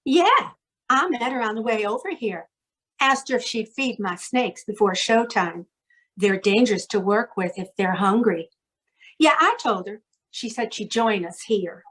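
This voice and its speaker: A woman's voice: medium pitch, animated, a little slow, a bit bright, breathy edge, low-quality recording. A woman playing an anxious, emotional young carnival snake handler in an old-time radio drama, with a plaintive manner. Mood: uneasy.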